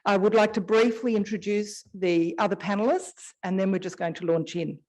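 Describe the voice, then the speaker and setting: A woman's voice: mid-range voice, steady tone, moderate pace. Chatty woman moderating a panel. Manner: warm, chatty, easygoing asides. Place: conference hall. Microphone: shared stage microphone.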